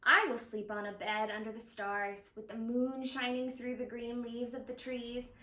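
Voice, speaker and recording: Lady reading as an eager little storybook boy, earnest and sure of himself, bright voice, echoey room. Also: on the high side, little pitch movement, normal speed. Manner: earnest, confident, explaining plans. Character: eager, imaginative young boy in a picture book. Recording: roomy echo of a home interior.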